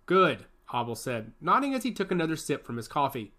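A man reading a science fiction story aloud as a narrator, shifting his pitch up for the characters' lines. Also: big pitch swings, quick pace, bright, forceful tone.

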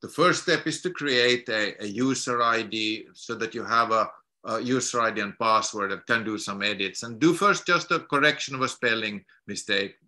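A middle-aged man from Finland talks over a video call from a home study lined with books. His voice is strikingly bright and his delivery halting, with many restarts and repeated words as he explains. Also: lowish voice. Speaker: guest lecturer.

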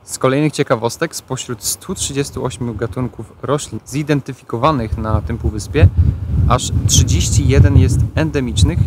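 A man's voice: mid-range voice, background noise. A Polish man giving casual travel vlog narration as host, speaking outdoors on a hiking trail. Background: music.

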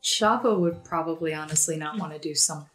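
Female tabletop player, slightly low voice, casual game chat over faint music. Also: brisk, fairly bright tone. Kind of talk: casual game chat, describing her character's actions.

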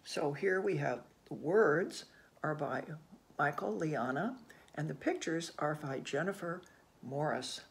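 Older storybook reader with a creaky voice. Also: mid-range voice, expressive, a little hiss or hum. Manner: gentle, unhurried, musing with soft hmms and ohs. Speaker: children's read-aloud host. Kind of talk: picture book read-aloud with asides to children. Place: small room indoors at home.

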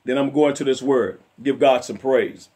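A middle-aged male evangelist with a raspy voice, preaching fervently from a chair in his living room and sprinkling in amens and hallelujahs. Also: medium pitch, moderate pace, darkish tone. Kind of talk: home sermon and Bible study. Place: home living room, seated in a leather chair.

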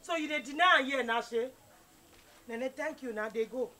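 An actress playing a loud, scolding young woman with a Nigerian accent. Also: high-pitched, normal speed. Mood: angry. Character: fiery young woman named Lucy, quarrelsome.

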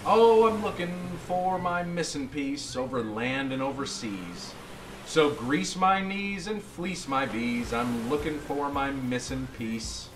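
A man plays an earnest storybook circle, an innocent creature forever rolling along. The voice is singsong and very animated, with a roomy echo around it. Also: mid-range voice, slow pace, background noise, voice pushed down. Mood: hopeful singsong. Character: hopeful, innocent rolling circle searching for its piece. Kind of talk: storybook character voice.

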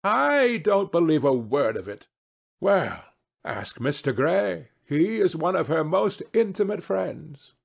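Man voicing an arch, high-pitched elderly society hostess. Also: big pitch swings, slow pace, vocal fry, breathy edge, full character voice. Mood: incredulous. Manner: arch, exclamatory, theatrical. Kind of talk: audiobook character voice.

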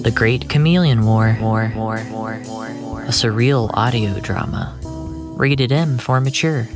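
Slow, hushed dramatic narrator over music. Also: medium pitch. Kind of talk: slow, scripted surreal audio drama narration.

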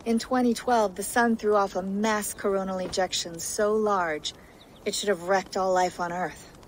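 A woman playing a calm support operator. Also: medium pitch, unhurried, a little hiss or hum, close to an everyday voice, poor audio. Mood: steady. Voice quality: calm, reassuring. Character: steady, supportive female tech operator.

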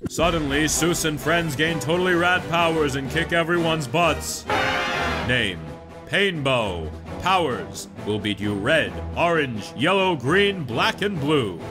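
Bombastic cartoon superhero announcer, played by a man, deliberate and lively, over clear music. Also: on the high side. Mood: dramatic. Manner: dramatic, announcer-like. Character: bombastic male comic-book superhero narrator. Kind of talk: scripted comic narration.

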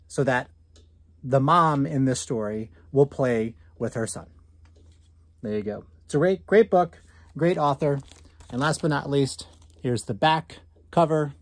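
A man's voice: mid-range voice, dry recording. A man in his thirties giving a conversational read-aloud lesson, asking listeners questions as a teacher. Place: small indoor room at home.

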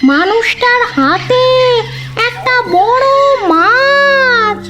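A woman playing a lonely, lovesick female ghost in a comedy cartoon, pleading and scheming in a drawn-out sing-song. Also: very high-pitched, lively intonation, heavily acted. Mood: excited, longing. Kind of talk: Bengali comedy cartoon voice acting.

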